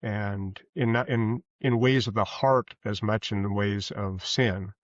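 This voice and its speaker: Male podcast guest, deeper register, earnest and halting, reflective delivery, dull roomy recording. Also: fairly even intonation. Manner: halting, earnest, rephrases as he goes. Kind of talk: reflective interview conversation. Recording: muffled, roomy, echoey sound.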